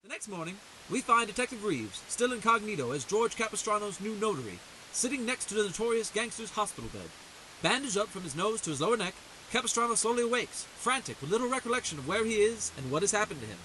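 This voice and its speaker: Man as high, bright old-time radio narrator. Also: big pitch swings, fast, a little hiss or hum, heavily acted, poor audio. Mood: dramatic. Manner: theatrical, florid. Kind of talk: 1940s-style radio narration.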